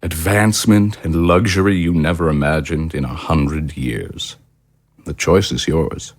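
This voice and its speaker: An actor playing a coldly polite, powerful villain in an audio drama, speaking in a formal, measured, condescending way. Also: male voice, rumbling low, fairly even intonation, no room sound, studio quality, full character voice. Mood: smooth persuasion.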